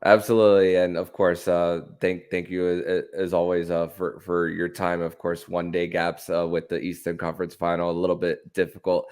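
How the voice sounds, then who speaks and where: A man with a low voice hosts a sports podcast in a conversational way. His delivery is halting, and he often repeats words and restarts as he frames things for his guest. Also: monotone, a little mellow, clean tone.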